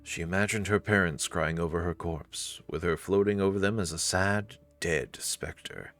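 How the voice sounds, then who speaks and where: A woman's voice: extremely low pitch, normal speed, soft background music. A woman narrating a visual novel in a scripted storytelling style.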